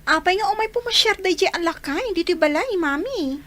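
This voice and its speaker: A woman's voice: very high-pitched, expressive, brisk, fairly bright tone. A woman with an Ilocano accent playing a wary, questioning teenage daughter in a radio drama. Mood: wary, pleading. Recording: background music under the voice.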